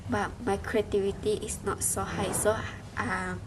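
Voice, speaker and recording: A young Malaysian woman, a university student, giving a halting spoken reflection with many restarts and fillers. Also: mid-range voice, little pitch movement. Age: young adult. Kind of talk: spoken class reflection in a second language. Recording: noisy background hiss.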